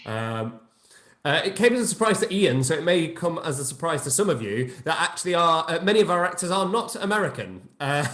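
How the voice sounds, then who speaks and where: A man's voice: on the high side, fairly bright tone, reverberant. A man hosting a live online Q&A over a video call, upbeat and laughing often, with frequent fillers. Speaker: host.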